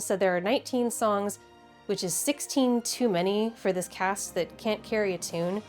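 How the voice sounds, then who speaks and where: A woman's voice: a little high, a little mellow. A woman with an American accent narrates a scripted video essay in a wry, conversational, emphatic way with casual asides. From Midwestern United States.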